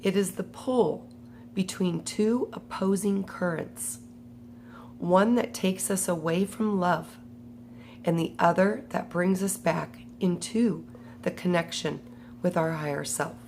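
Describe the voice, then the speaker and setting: Slow low-voiced woman with a cold. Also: darkish tone, slight background noise. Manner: slow, halting, with fillers. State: has a cold, voice strained. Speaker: spiritual book reader. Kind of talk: reading a book aloud with personal commentary.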